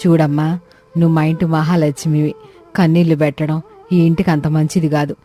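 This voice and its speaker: A woman's voice: sits low, little pitch movement, a little fast, warm tone. A woman voicing a caring, wise older village mother-in-law in a story, consoling and plain-spoken.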